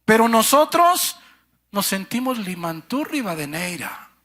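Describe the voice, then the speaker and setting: A man preaching with fervor, his high voice leaping up and down across wide swings. His tone is bright and a little husky. He speaks slowly and deliberately, turning often to his listeners as brother and sister. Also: sing-song. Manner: impassioned, swinging from shouts to hushed low phrases. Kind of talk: sermon.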